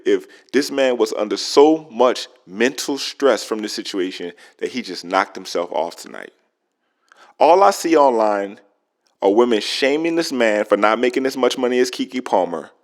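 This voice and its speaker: A man giving opinionated commentary in an emphatic way, asking rhetorical questions and drawing out words for effect. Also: medium pitch. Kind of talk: opinionated gossip commentary to camera.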